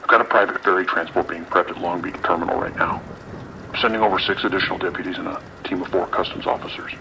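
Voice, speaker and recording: A man plays a skeptical, decisive police lieutenant in an audio drama. His deep voice moves quickly, authoritative and dismissive, with a gravelly grain. Faint rain and radio noise sit behind him. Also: fairly even intonation, pushed and bright, exaggerated voice, poor audio.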